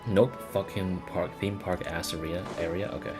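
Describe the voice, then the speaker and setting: A man's voice: low voice, fairly even intonation, moderate pace, loud music behind the voice. A man giving casual, profane and sardonic live commentary as he plays through a video game and reads bits aloud. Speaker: game streamer.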